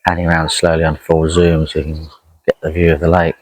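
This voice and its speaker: A man's voice: a bass voice, monotone, fast talker. A man chatting casually and unhurriedly as he narrates an outdoor fishing vlog by a lakeside, rambling as he goes. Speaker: angler vlogging a fishing trip.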